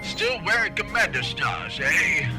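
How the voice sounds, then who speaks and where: Pompous, wildly swooping commandant. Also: male voice, a little high, huge pitch swings, a little slow, heavily acted. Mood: smug. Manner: pompous, theatrical. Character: pompous aristocratic commandant, self-styled gentleman astronaut. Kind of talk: comic sci-fi audio drama. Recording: voice under loud music.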